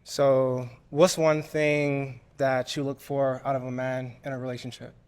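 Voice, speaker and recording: A man in his thirties from the Bahamas answers questions on a dating show. His voice is smooth and clear, held in a narrow, even pitch. He moves through his answers steadily, like a list. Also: a little slow. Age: 30s.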